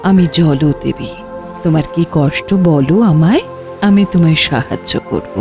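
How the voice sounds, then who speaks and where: A woman voicing a kindly water goddess in a cartoon, speaking slowly and solemnly, with music playing behind her. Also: sits low.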